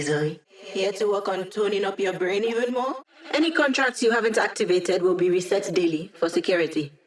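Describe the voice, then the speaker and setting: An actress plays a young woman hacker, a video game character who exists as a projection. She talks in a breezy, casual way with a medium-pitched voice. Her delivery is wry and quippy, with a playful, teasing edge. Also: lightly acted. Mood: dry, upbeat. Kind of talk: scripted game character voice lines.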